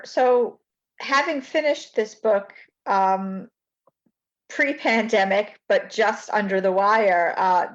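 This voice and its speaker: Hesitant woman host, dull lo-fi audio. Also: mid-range voice. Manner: hesitant, many ums and restarts. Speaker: podcast host. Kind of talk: interview questions. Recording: dull lo-fi sound.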